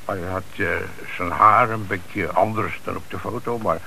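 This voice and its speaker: A man's voice: low-pitched, fairly even intonation, normal speed, vocal fry, sharp tone, heavily acted. An actor in a Dutch radio play playing a shifty small-time crook who deals in cars, speaking hesitant, slangy street talk and hedging his answers. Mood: evasive. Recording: noisy old radio play recording.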